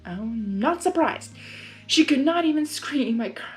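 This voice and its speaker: A woman's voice: high-pitched, animated, unhurried, faint music behind, rough recording. A young woman reading a story aloud expressively and breaking in with gushing, emotional reactions. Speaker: reader of fan fiction.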